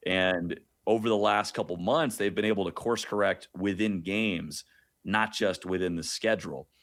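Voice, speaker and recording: A man with a fairly low voice gives conversational sports analysis as a radio guest. He tells it informally, like a play-by-play announcer off duty, and drops in wry asides. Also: normal speed, fairly bright tone.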